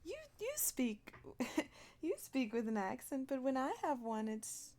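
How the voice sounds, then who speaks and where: A woman's voice: high voice, slow pace, a little mellow. A woman talking in character during a roleplay, playful and slipping into Spanish phrases. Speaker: roleplay player.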